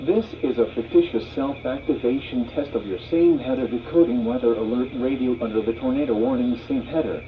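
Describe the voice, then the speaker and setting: A man's voice: medium pitch, brisk, hardly any pauses, narrow, tinny audio, a little hiss or hum. A man's synthetic voice reading formal scripted emergency announcements through a weather radio speaker.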